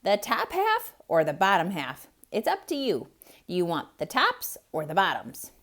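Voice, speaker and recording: A woman doing a clever, scheming hare, high and eager. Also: huge pitch swings, moderate pace, fairly bright tone, full character voice.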